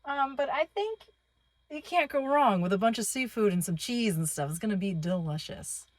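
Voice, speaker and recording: A woman's voice: on the high side, lively intonation, moderate pace, slight background noise. A woman chatting casually and informally to viewers as the host of a travel vlog, upbeat and chatty. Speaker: travel vlogger, channel host.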